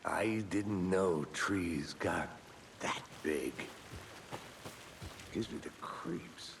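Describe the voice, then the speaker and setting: A man's voice: extremely slow, creaky, a little hiss or hum, heavily acted. A man playing a skeptical detective partner in a video game, with a dry, laconic, wry delivery. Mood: uneasy. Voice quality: deep. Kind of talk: video game acting, casual investigative dialogue.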